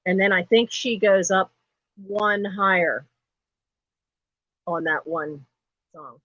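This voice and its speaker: Middle-aged lady w a low speaking voice, chatty and rambly, hosting a live sale, dull lo-fi stream sound. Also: takes it slowly, fairly bright tone, no room sound. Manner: chatty and digressive, laughs often. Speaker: host of a live online sale. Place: home.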